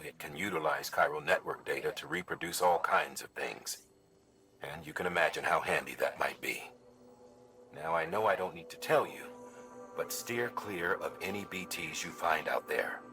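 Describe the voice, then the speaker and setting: A gruff male commander from a video game, with a deep voice. He gives mission briefings in a businesslike, instructive way, with music playing behind him. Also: moderate pace, sharp tone. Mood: measured, instructive.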